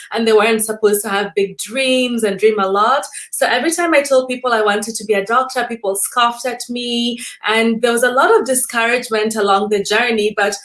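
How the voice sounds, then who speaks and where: A woman from Kenya with a fairly high voice speaks as a guest in an interview. She tells her story and explains her work conversationally, in an earnest manner, sometimes repeating words as she goes. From Kenya, Nairobi.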